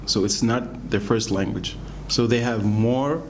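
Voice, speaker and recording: A man whose first language is Pular, an English teacher answering student questions in a relaxed, thinking-aloud way. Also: mid-range voice, measured pace, a little hiss or hum.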